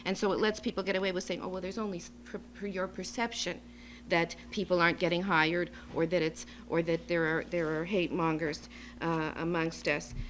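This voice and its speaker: A woman gives a semi-improvised public speech as an advocate, making her points emphatically and deliberately in short phrases. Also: medium pitch. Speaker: advocacy group speaker at a symposium. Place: symposium venue. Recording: noisy live speech recording with hum.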